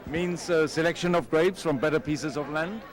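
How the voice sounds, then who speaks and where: A man's voice: fairly high pitch, normal speed, crowd noise. A winemaker from France describing his wine, hesitant and full of fillers.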